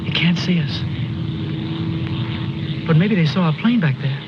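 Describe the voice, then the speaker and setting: An actor playing an anxious, naive young soldier in an old war film, nervous and questioning. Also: male voice, fairly high pitch, expressive, a little slow. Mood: uneasy. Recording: muffled old film soundtrack, clearly noisy.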